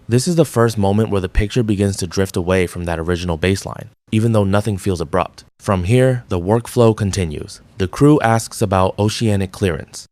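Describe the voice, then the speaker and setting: A man giving a measured, deliberate scripted narration as the host of an analysis channel. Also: on the low side, darkish tone, dry recording. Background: background music.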